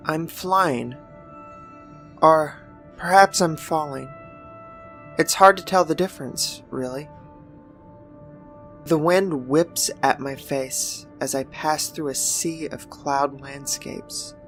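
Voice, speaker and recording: Very slow, low-pitched woman narrating. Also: soft background music. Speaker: story reader. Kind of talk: scripted first-person fiction narration.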